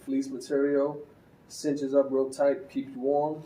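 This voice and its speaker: A man's voice: mid-range voice, monotone, a little slow, warm tone, distant mic. A man giving a casual, conversational gear review as a motorcycle vlogger, with easy fillers and restarts. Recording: roomy, from a bit off the mic, faint ambience.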